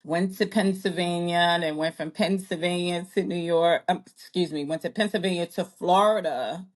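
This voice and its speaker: A woman's voice: on the low side, fairly even intonation, normal speed. A woman in her early forties, born in Jamaica, chatting as an interview guest, rambling and correcting herself as she goes. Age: early 40s. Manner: rambling, self-correcting, laughs often. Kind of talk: casual interview chat.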